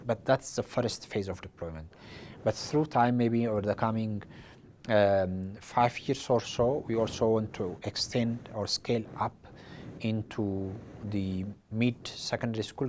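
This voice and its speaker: A man from Ethiopia, an education official, speaking haltingly with many repeated restarts. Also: on the low side, darkish tone, slight background noise. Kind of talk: interview statement.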